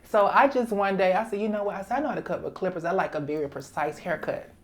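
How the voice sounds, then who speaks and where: A woman's voice: medium pitch, fast talker. A woman in her fifties, a guest hairstylist on a talk show, speaking emphatically and repeating herself. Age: 50s. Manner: emphatic, repeats herself, talks with relaxed confidence. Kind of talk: casual interview chat.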